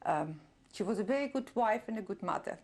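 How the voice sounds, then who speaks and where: An older woman whose first language is German, giving a reflective oral history testimony with many pauses and soft laughs. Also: mid-range voice, expressive. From Czernowitz, Bukovina. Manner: reflective, pausing often with fillers, soft laughs. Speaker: interviewee, Holocaust survivor.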